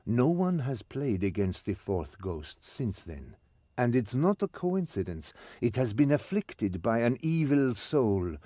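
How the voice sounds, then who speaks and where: Stern old game mentor, theatrical, slow pace, swoopy pitch, dry sound. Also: male voice, darkish tone, no echo. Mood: grave, kindly. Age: older. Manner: theatrical, commanding. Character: stern old male mentor, servant of a master. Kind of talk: scripted game cutscene acting.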